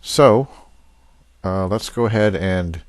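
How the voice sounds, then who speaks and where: Deep-voiced man walking through software. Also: slow pace, dry recording. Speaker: software demonstrator. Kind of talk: screen-share software walkthrough, unscripted.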